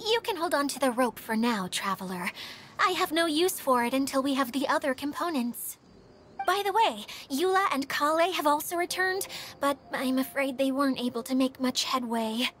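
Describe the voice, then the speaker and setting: A woman playing a kindly, warm-hearted young female guide in a video game, expressive and airy. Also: high voice, moderate pace, a little hiss or hum. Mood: thoughtful.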